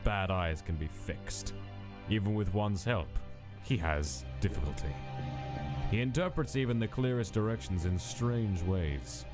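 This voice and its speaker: Male actor as monster mad scientist: very low voice, clinical, measured, dismissive, music underneath. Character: cold, detached male monster mad scientist, a reluctant creator. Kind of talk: fan comic dub narration of lab log entries.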